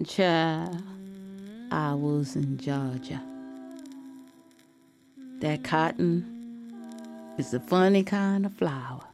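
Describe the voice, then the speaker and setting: A woman's voice: low-pitched, extremely slow, pauses often, heavily acted. A woman voicing an elderly, formerly enslaved Southern grandmother, sorrowfully remembering in weary dialect storytelling. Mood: wistful, weary.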